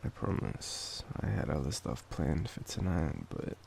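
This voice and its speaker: An Australian man with a cold speaks in a soft, halting, affectionate way, as in an intimate boyfriend roleplay. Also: a bass voice, very animated, creaky. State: sick with a cold. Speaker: audio roleplay performer playing an affectionate sick boyfriend. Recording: background noise of rain. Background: rain ambience.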